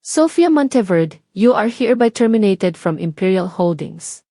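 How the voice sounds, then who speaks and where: A woman's voice: mid-range voice, very animated, a little slow, dark tone, no room sound, studio quality. A woman with a Filipino accent voicing an authoritative older male tycoon and patriarch in an audio drama, formal and commanding. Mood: stern.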